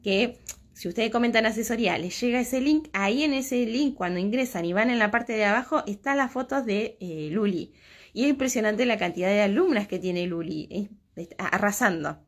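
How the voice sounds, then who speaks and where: A woman's voice: medium pitch, normal speed. A woman in her thirties with an Argentine Spanish accent, a crochet teacher talking casually with viewers and answering their comments from a room at home. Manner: chatty, reading out and answering comments. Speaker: crochet teacher hosting a livestream. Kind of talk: casual live chat with viewers. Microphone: phone at arm's length.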